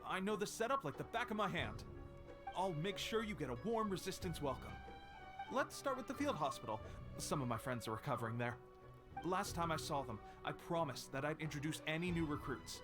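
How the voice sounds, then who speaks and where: Keen young recruit, highish voice, earnest, proud, game music behind. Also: male voice, very animated, fairly quick. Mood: eager, friendly. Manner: earnest, eager, boastful. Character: eager young male soldier, proud and friendly.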